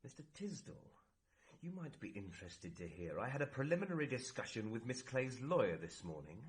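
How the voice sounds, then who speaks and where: An actor playing a confident police inspector, clipped and sardonic, in a vintage radio drama. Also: male voice, mid-range voice, big pitch swings, fairly quick, close to an everyday voice. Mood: probing, sardonic. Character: dry, confident male Scotland Yard police inspector.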